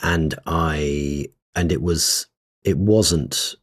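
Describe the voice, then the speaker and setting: A man's voice: a bass voice, very slow. A man co-hosting a podcast, talking in a casual, hesitant and thoughtful way with many fillers. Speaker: co-host.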